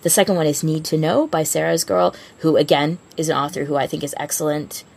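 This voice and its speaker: A woman co-hosts a podcast in a casual, conversational style. Her voice sits low and is strongly creaky, with a husky grain throughout. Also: steady tone, fairly quick, a little mellow. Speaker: podcast co-host. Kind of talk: casual chat.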